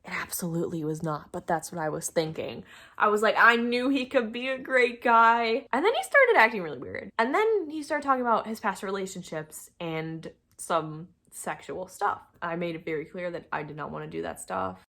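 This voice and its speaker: A woman's voice: medium pitch, animated, moderate pace, sharp tone. A young adult woman chatting casually as she tells a personal story, acting out bits of past conversations with exasperated asides. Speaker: storytime video creator.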